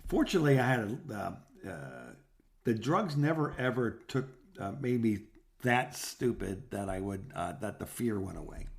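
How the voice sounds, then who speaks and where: An American man in his sixties chats casually as a podcast co-host. He has a mid-pitched voice and tells rambling personal stories, often circling back and starting over in a halting way. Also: animated, gravelly. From South Florida, United States. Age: 60s. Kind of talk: casual phone chat, rambling personal anecdotes.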